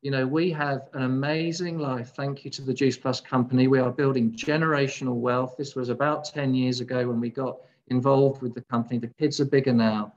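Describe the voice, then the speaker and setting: A man's voice: medium pitch, steady tone, fairly quick, hardly any pauses. A man in his fifties giving a business presentation over a video call. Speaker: presenter of a marketing overview. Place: home kitchen, indoors. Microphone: video call, computer microphone. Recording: dull, muffled video call audio.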